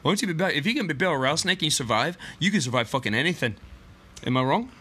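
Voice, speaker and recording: Man in a put-on Aussie accent, bright tone. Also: a little high, animated, racing, breathy edge. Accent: put-on Australian. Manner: rambling, joking with filler restarts. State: has a cough. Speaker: podcast co-host. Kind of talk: comedic podcast banter.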